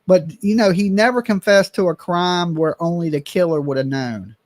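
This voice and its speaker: A man's voice: sits high, moderate pace, dry recording. A man hosting a casual chat, blunt and opinionated, swearing now and then.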